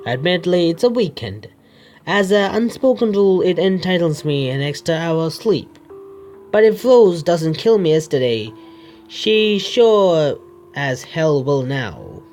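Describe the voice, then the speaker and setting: A man reading game text aloud as a streamer, halting and stumbling, with casual asides. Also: fairly high pitch, expressive, a little mellow. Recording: music under the voice.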